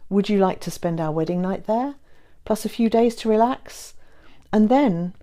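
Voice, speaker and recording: A woman voicing a courteous, reassuring gentleman suitor in a romance audiobook, with formal phrasing. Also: mid-range voice, animated, normal speed, natural voice. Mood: tender, assured. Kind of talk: romance audiobook character reading.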